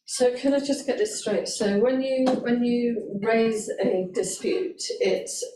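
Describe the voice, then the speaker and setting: A woman on a committee presses for clear answers, questioning persistently and asking to finish her point. She sits away from the microphone in a roomy council chamber, with faint background hiss. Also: a little high, slow, mellow. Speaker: committee member. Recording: distant mic in an echoey room, faint noise.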